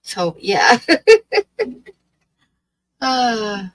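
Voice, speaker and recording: A woman's voice: mid-range voice, drawn-out words, a bit bright, dry recording, poor audio. A woman in her late sixties chats loosely to her livestream viewers. Her talk wanders, with plenty of ums and restarts. The sound is dry and close, as from a webcam in a small room. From Oregon, US. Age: 60s. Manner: rambling, hesitant, many fillers. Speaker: livestream host. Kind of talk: casual chat with live chat. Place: small dim room with draped wall.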